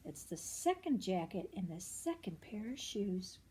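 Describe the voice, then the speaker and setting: Mellow older woman reading a picture book aloud. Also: mid-range voice, very animated, a little slow, a little hiss or hum. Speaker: storyteller reading to children.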